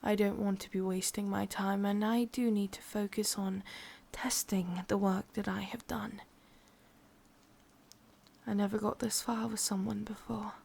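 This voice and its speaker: A woman with an English accent voicing an ancient, lonely, sadistic witch in a breathy half-whispered ASMR roleplay, speaking slowly with drawn-out pauses. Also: medium pitch, little pitch movement, darkish tone. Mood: coaxing. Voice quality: breathy, often slipping into whisper. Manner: slow, halting, drawn-out delivery with pauses.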